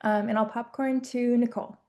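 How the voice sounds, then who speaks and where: A woman's voice: on the high side, fairly even intonation, brisk, a little mellow. A young woman from Wisconsin, moderating an online panel with casual ums and likes. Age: young adult.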